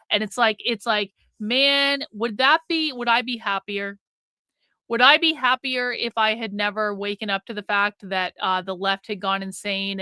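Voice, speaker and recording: Woman, livestream host, casual rambling, blunt, sweary, repetitive phrasing, forceful bright tone, very clean voice. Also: medium pitch, smooth, no echo. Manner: repeats phrases for emphasis.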